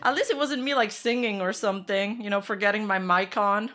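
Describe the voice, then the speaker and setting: A woman with a somewhat rough, hoarse voice, chatting informally as she hosts a livestream. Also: medium pitch, fairly quick, fairly bright tone. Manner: informal, giggly. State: dry, hoarse voice. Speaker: livestream host. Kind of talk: casual chat to livestream viewers.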